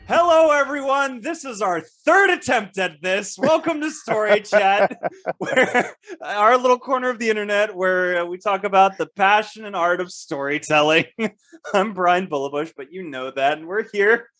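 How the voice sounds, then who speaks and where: A man's voice: high voice, lively intonation, normal speed, bright, forceful tone, no room sound, studio quality. A man hosting a podcast in a casual, chatty style. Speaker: podcast host. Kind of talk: casual chat.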